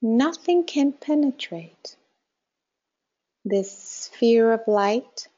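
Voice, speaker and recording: A woman leading a guided meditation in a calm, measured way, with short instructions and soft phrase endings. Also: a little high, lo-fi sound. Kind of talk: guided meditation, slow spoken instructions with long pauses.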